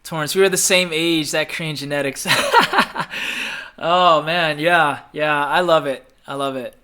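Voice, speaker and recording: Bright-voiced upbeat man in his thirties. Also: on the high side, fairly even intonation, measured pace, smooth. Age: 30s. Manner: upbeat, chatty, reads comments aloud and repeats phrases. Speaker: livestream host answering viewer questions. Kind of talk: casual live Q&A chat.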